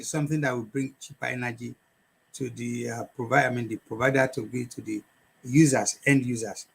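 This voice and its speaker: Gravelly, husky Nigerian male host. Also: mid-range voice. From Lagos, Nigeria. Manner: halting, repetitive phrasing with Yoruba mixed in. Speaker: talk show host. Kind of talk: conversational interview.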